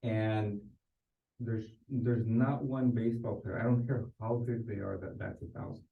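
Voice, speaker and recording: A man's voice: fairly low pitch, fairly even intonation, unhurried, gravelly. A man telling anecdotes in a group coaching discussion, conversational, restarting his sentences and often checking for agreement. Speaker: real estate sales coach. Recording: distant, echoey, thin phone-like sound.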